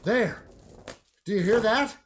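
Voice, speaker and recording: A woman's voice: mid-range voice, slow pace, lightly acted. A woman playing a confident, skeptical family father in a radio play, brash and hearty in manner. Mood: alarmed.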